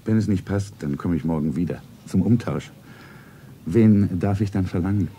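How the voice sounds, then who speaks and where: An actor playing an elegant, lovestruck rich gentleman of about forty in a radio play, with a full, soothing voice. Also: low-pitched, normal speed, slight background noise, natural voice. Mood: courting, wistful. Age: 40s. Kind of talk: radio play acting, romantic monologue.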